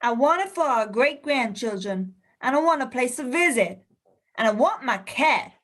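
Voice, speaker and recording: A woman's voice: on the high side, lively intonation, normal speed, fairly bright tone. A woman playing a stubborn, feisty old lady ghost, mischievous and insistent, in a radio play. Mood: insistent, wistful. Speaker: actor in an amateur radio play.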